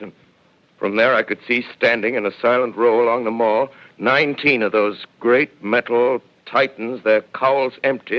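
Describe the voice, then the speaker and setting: A grave, solitary astronomer , played by a man, recounting events in a measured, solemn narration. His voice sits low and moves with slow, reflective weight. The sound is muffled, like a 1930s radio broadcast. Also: natural voice.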